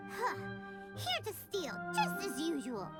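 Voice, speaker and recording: A woman voicing a tiny, excitable floating female companion in a game cutscene, who talks in a chatty, blunt way. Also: very high voice, measured pace, exaggerated voice. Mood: suspicious. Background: game music.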